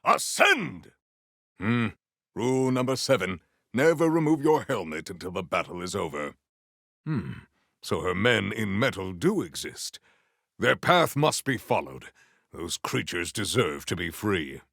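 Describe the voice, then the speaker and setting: An actor playing a proud, battle-eager armored warrior-poet in a video game, with formal, theatrical diction. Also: male voice, fairly low pitch, sing-song, measured pace, gravelly, fairly bright tone, no echo, clean studio sound, exaggerated voice. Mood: triumphant battle cry, then dry, formal. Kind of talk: scripted game character lines.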